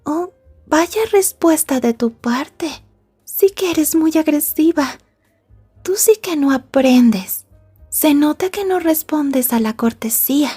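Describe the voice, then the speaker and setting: A woman playing a possessive, polite young yandere girlfriend in an ASMR roleplay, speaking in a prim, wordy and softly menacing way. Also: high voice, expressive, slow, soft background music. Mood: coldly indignant. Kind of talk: Spanish-language ASMR roleplay acting.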